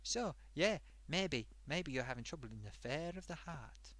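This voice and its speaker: A man's voice: fairly high pitch, lively intonation, moderate pace, fairly bright tone, no echo. A man voicing a chatty sock puppet host who rambles off topic and talks to viewers, his throat getting sore. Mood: rambling. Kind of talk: rambling comedic live-stream monologue.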